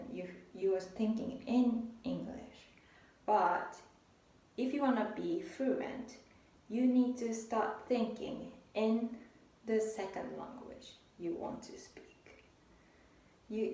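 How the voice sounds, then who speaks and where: Slow Japanese-accented woman, echoey. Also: medium pitch, mellow, distant mic. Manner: unhurried, halting, restarts phrases. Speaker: language tutor. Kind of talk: casual spoken lesson. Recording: echoey room, voice off-mic.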